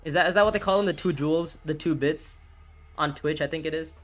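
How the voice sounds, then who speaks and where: A man in his early twenties streaming a board game, thinking his moves aloud, reacting loudly and swearing at bad turns. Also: a little high, fast talker, soft background music. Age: 20s. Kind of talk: live gameplay commentary, thinking aloud.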